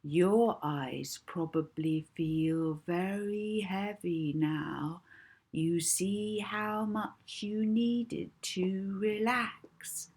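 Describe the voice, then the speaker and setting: A woman voicing a young girl hypnotist in an audiobook, speaking in a deliberate, coaxing and commanding way. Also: medium pitch, fairly even intonation, darkish tone, slightly rough, exaggerated voice. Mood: soothing, hypnotic. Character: young orphan girl hypnotist, soothing, bold, bossy. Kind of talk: audiobook character voice.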